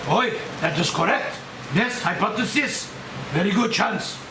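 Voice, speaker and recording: Man playing a high-pitched, creaky scientist. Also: lively intonation, a little slow. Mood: earnest, insistent. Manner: clipped broken English with dropped words. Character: Japanese scientist doctor, earnest expert on a mutated monster. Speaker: actor at a screenplay table read. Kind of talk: table read of a monster movie. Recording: roomy, distant mic, air-conditioning hiss.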